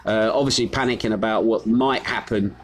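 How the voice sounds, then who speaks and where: A man's voice: fairly low pitch, steady tone, fairly quick, slight background noise. A middle-aged man from the United Kingdom hosting a live stream from his home, chatting casually and reading out viewers' names with plenty of fillers. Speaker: host of a live news stream. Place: his home on a Greek island.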